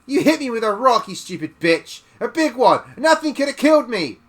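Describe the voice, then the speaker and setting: A man's voice: extremely high pitch, big pitch swings, normal speed, a bit bright, voice pushed up, full character voice. A man voicing a cruel, fat village bully boy who sneers and whines. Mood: outraged. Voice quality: sneering, whining.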